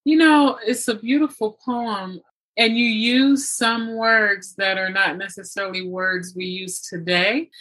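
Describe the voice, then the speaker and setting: A woman with a bright, crisp-edged voice and a middle pitch hosts an interview. She speaks deliberately and politely as she leads her guest from one question to the next. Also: fairly even intonation, low-quality recording. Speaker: podcast host and author.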